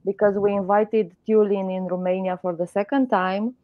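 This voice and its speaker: A woman's voice: medium pitch, steady tone, moderate pace, dry recording. A woman hosting a live online interview, hesitant with many fillers. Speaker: host of a live stream. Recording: dry, muffled lo-fi sound.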